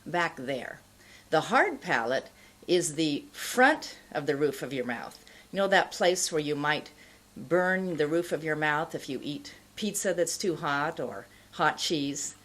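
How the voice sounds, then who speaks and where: A middle-aged woman speaks as a pronunciation teacher giving a lesson. Her voice is low and husky, and she takes her time, enunciating deliberately as she explains. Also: expressive, fairly bright tone. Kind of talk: instructional lesson, explaining and modelling sounds. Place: indoor studio, plain backdrop.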